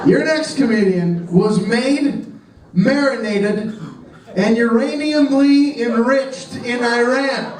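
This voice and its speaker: A man's voice: very high voice, far from the mic. A man hosting at a comedy club stage, joking with the crowd before he brings up the next comedian. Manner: quick, joking crowd work. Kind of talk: stand-up hosting, introducing the next act. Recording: echoey room, mic heard from afar. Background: audience laughter.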